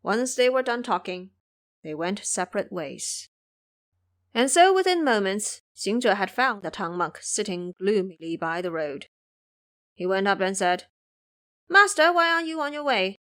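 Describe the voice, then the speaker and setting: A woman's voice: mid-range voice, animated, a little slow. A woman narrating a scripted audio drama as a storyteller.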